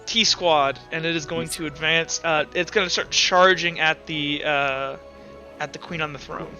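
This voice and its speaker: A man serves as game master, improvising the action of a tabletop game and thinking aloud as he goes. His voice is fairly high and forward, bright in tone. Faint music plays under a slightly dull recording. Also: fairly even intonation, normal speed.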